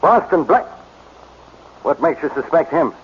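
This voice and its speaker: An actor playing a gruff, suspicious, excitable police inspector in a 1940s radio crime drama. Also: male voice, fairly high pitch, a little slow. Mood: measured. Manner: brusque, excitable. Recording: muffled, noisy 1940s radio broadcast.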